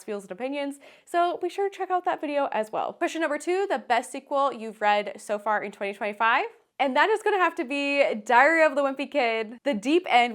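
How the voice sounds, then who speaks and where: A woman hosting a casual book vlog, talking to viewers in an enthusiastic, emphatic way with stretched-out stressed words. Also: high voice. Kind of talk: casual chatty to-camera book review.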